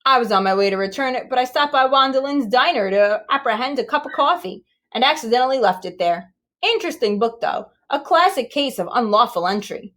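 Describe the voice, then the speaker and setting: Woman as a brisk, bright-voiced policeman. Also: fairly high pitch, animated, pitched above the performer's own voice. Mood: official, brisk. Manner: formal police jargon, brisk. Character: matter-of-fact male police officer, official and clipped.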